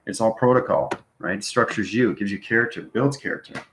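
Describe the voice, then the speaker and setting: A man's voice: on the low side, a little fast, fairly bright tone, echoey room, slight background noise, poor audio. A man hosting a live stream, giving a rambling spiritual explanation in an instructional way, often checking in with short tags. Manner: instructional, frequent check-in tags like okay and right. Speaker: host answering live viewers.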